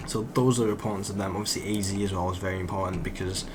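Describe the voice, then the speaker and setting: A young man, croaky from just waking up, rambling casually as he commentates a card game he is playing. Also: low voice, little pitch movement, rapid-fire, noisy background. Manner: rambling, thinks aloud with fillers. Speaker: gaming channel host.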